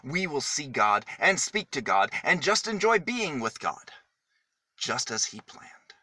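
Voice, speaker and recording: Expressive, slow-reading man with a bright voice. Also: medium pitch, dry recording. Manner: dramatic, deliberate phrasing with emphatic pauses. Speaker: storyteller reading a children's book. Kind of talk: expressive read-aloud story for children.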